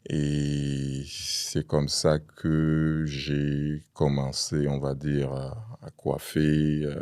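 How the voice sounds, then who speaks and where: Deep monotone man, from Cameroon, hesitant podcast guest, dry close sound. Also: no echo. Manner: hesitant, many fillers. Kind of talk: casual interview.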